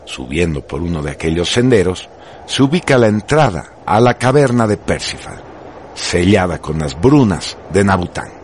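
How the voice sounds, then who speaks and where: A man's voice: sits low, very animated, normal speed, darkish tone, a little hiss or hum. A man narrating a radio drama from a script in a grave, declamatory manner. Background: prominent music.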